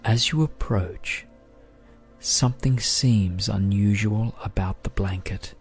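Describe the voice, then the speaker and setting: A man narrating a guided meditation in a gentle, soothing, measured way. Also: fairly low pitch. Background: music.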